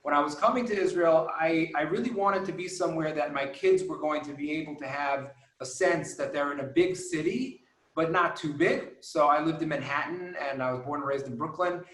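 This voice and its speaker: A man's voice: on the high side, a little fast, a bit bright, echoey room. Earnest New man on a video call. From New York. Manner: apologetic, wordy and earnest. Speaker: guest immigrant parent. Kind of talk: webinar talk.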